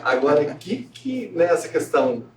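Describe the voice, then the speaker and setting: A man poses questions with animated, lively intonation and a somewhat high voice. He sounds distant from the mic, with room echo and background noise. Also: normal speed, over a noisy crowd. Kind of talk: casual panel discussion. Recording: distant, echoey, noisy.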